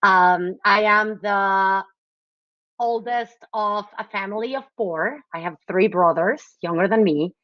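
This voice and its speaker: A woman in her forties from Mexico, a guest chatting casually in an interview, warm and talkative with many fillers and frequent laughs. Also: mid-range voice, takes it slowly, dry recording, lo-fi sound. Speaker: guest, nonprofit executive director.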